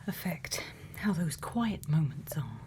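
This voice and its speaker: Female ASMR performer as a caring scientist: soft-spoken to whispered, unhurried and deliberate, close-miked with some background noise. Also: deep voice, very animated. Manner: slow, hushed, deliberate, with thoughtful pauses. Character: gentle, caring female scientist tending a robot. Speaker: ASMR creator.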